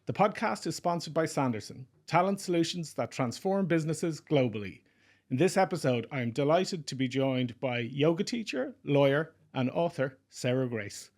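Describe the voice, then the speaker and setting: A man's voice: fairly high pitch, expressive, moderate pace, darkish tone. Man hosting an interview podcast. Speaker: podcast host; recruiter.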